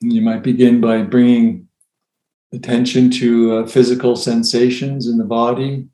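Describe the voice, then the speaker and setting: A man leading a guided meditation as a teacher, with gentle, unhurried phrasing and long pauses. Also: medium pitch, little pitch movement. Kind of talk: guided meditation, softly spoken instruction. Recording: roomy, echoey sound.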